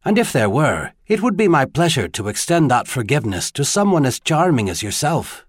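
A man voicing a charming, gallant, faintly impish gentleman with a tenor voice, in audiobook dialogue. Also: medium pitch, big pitch swings, moderate pace, husky, no echo, clean studio sound. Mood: gallant, amused. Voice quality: pleasant tenor. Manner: gallant, courtly, polite.

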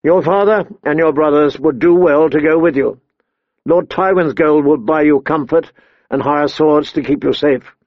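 A stern and honorable lord, grave and measured in every line, as a male audiobook reader plays him. The voice is slightly higher than most men's and is heard dry and a bit muffled. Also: no room sound. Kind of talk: audiobook character voice.

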